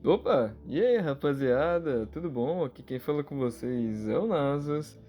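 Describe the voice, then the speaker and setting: A man's voice: a little high, lively intonation, unhurried, mellow. A man with a Brazilian accent chatting casually and slangily to viewers as a channel co-host. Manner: informal, slangy. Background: background music.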